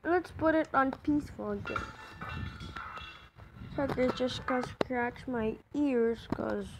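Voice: sits high, slow pace. A female-sounding gamer giving casual let's play commentary in an informal, self-talking way, repeating words, on a noisy home recording. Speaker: gaming video host.

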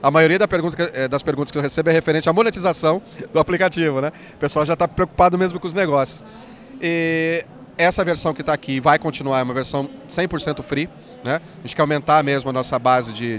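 A man in his forties, an app company founder, explaining his product eagerly in an interview at a trade fair, speaking into a handheld mic. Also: on the high side. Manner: fast, enthusiastic explainer with many "né"s. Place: busy indoor expo hall.

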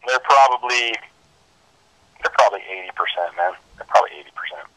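A man's voice: on the low side, animated, fairly quick, sharp tone, a little hiss or hum, poor audio. A man talking casually on the phone in a slangy way, calling people man and dude. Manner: slangy, laid-back wording with frequent "man" and "dude". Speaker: truck seller on a phone call.

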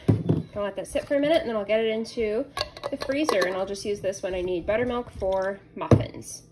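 A woman's voice: medium pitch, brisk. A woman in her thirties giving casual, rambling, offhand narration as she cooks in a home kitchen, recorded on a phone. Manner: rambling, offhand self-commentary. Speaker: home cooking vlogger. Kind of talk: casual chatty narration while cooking. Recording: clear background noise, kitchen clicks.

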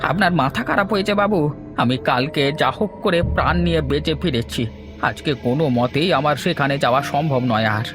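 A man's voice: fairly high pitch, fairly quick, over music, close to an everyday voice. A man voicing a loyal, cautious old servant who speaks earnestly and deferentially in a horror cartoon. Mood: fearful, apologetic.

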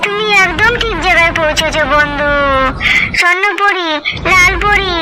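An earnest, kindly young fairy in an animated fairy tale, voiced by a woman. She speaks in a very high, sweet, declamatory voice with loud noise in the background. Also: moderate pace. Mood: caring.

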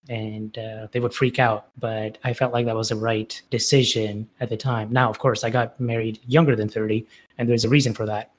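A man in his late twenties hosting a casual podcast chat, speaking in a relaxed, measured way and asking short questions. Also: mid-range voice. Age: 20s. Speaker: podcast host.

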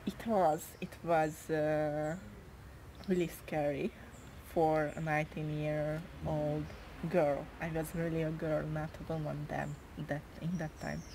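A young woman with a Hungarian accent speaks English, her second language, in a soft, hesitant way, pausing often and reaching for words. Her voice sits fairly low for a woman, and she talks at an unhurried, drawn-out pace. A faint noise runs behind her. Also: little pitch movement. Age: 20s. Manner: hesitant, with frequent pauses and fillers. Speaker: interviewee. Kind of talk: casual interview answers.

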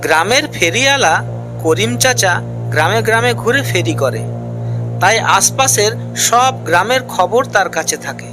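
A storyteller giving scripted narration for an animated village folk tale. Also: medium pitch, moderate pace. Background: music.

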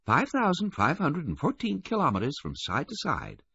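Man voicing a prim older woman, pitched high. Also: huge pitch swings, moderate pace, slightly rough, no echo, muffled recording, heavily acted. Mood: prim, chatty. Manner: prim, cheerful. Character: prim, brisk older woman relaying messages by telephone.

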